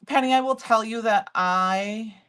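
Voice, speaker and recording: A middle-aged woman with a loud voice, hosting a live crafting demo and chatting loosely with viewers as she works. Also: fairly high pitch, unhurried, smooth. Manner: chatty, rambling, answers viewer comments. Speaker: livestream craft host.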